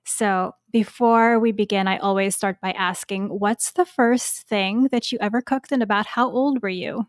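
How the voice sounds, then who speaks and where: A woman's voice: medium pitch, fairly even intonation, normal speed, few pauses, dry recording, studio quality. A woman hosting a food podcast, reading an introduction and then chatting eagerly with her guest. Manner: upbeat and eager. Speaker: podcast host. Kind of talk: scripted introduction and casual interview.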